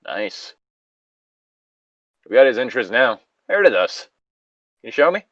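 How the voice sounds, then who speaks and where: A man's voice: mid-range voice, very animated, unhurried, a bit bright, no room sound, highs cut off. A man co-hosting a story reading, switching between narration and casual, joking asides. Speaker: co-host reading stories aloud.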